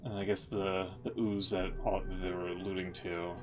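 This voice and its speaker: A man's voice: deep voice, flat delivery, normal speed, music in the background. A man giving casual, rambling game-streamer commentary, thinking aloud and swearing casually. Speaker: game streamer reacting to lore and cutscenes.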